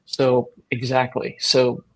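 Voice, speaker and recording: Slow, earnest woman host. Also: rumbling low, monotone, rough recording. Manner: earnest, measured, with frequent "um" and "so". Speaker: host, pet health practitioner. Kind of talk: video interview chat.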